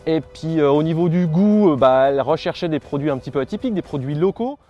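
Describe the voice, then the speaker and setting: Fast-talking man, mellow tone. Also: a little high, lively intonation, dull audio. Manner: rapid, with fillers. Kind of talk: casual interview clip.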